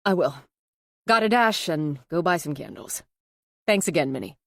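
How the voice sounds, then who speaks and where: Woman reading as a restrained, low-pitched, earnest man. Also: big pitch swings, moderate pace, vocal fry, fairly bright tone, no echo, studio quality, full character voice. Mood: earnest, awkward. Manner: restrained, self-conscious, plain-spoken. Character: earnest, slightly awkward man, a U.S. Marshal.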